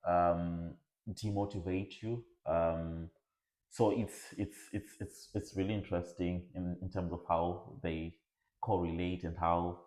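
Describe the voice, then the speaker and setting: A man's voice: low-pitched, level tone, slow, a little mellow, roomy sound. A man in a casual podcast conversation, speaking thoughtfully and hesitantly with frequent fillers.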